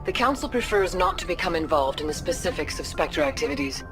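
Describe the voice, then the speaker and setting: An actress playing a composed, formal alien councilor in a video game, over music. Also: female voice, lowish voice, expressive, a little fast, lightly acted.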